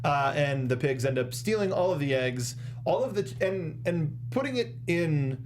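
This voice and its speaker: A man's voice: on the high side, animated, normal speed, slight background noise. A young adult man with an American accent, chatting casually as co-host of a review show at a studio microphone. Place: small studio, indoors at a desk. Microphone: close studio microphone with foam windscreen, headphones.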